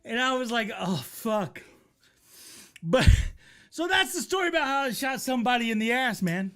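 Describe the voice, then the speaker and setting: A middle-aged American man casually telling a comic story in a rambling, profane, animated way. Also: extremely high pitch, moderate pace. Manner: profane, animated, acts out his remarks. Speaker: storyteller, host.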